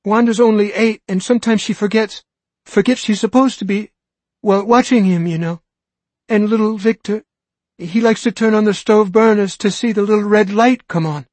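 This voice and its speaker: A man voicing a desperate young mother who pleads, high and close to screaming, to be let go home to her children. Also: steady tone, normal speed, a little mellow, no echo. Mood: pleading.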